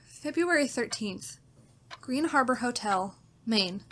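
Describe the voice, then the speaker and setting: A woman acting a fragile, frightened wife in radio melodrama style, anxious and halting in her delivery. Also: fairly high pitch, lively intonation, fairly bright tone, heavily acted. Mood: weary, dread. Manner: anxious, breathlessly dramatic, halting. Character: frightened, fragile, pleading wife in a gothic thriller.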